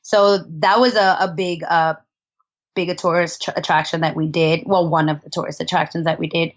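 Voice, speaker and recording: A woman's voice: lowish voice, little pitch movement, brisk, muffled recording. A woman telling travel stories as a podcast guest in a chatty, casual way, laughing often and restarting her sentences. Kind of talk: casual conversational storytelling.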